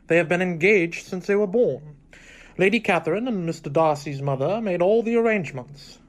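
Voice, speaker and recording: A man's voice: high-pitched, normal speed, close to an everyday voice. An actor playing a pompous, formal, self-satisfied clergyman in a radio drama, speechifying as a stiff suitor. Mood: smug, earnest. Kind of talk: theatrical radio drama acting.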